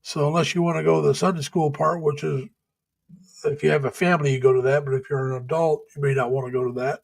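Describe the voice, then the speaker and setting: Brief: middle-aged man, slightly high voice, folksy and rambling, laughs a lot, halting uhs, chatting to viewers on a home stream. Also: level tone, vocal fry, low-quality recording. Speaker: live stream host. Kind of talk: casual chat reading viewer comments. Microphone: home webcam or computer mic.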